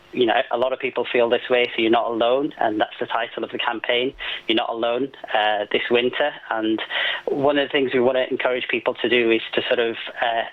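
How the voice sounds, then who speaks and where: A man giving measured, explanatory answers as a doctor guest in a radio interview. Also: mid-range voice. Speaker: GP and health campaign guest. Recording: muffled lo-fi phone-in sound.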